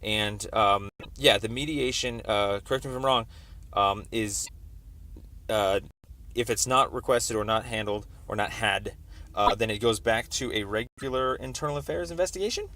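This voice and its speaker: A man on a recorded phone call explaining a complaint as a caller, in a halting, wordy, roundabout way. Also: lowish voice, sharp tone. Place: telephone call.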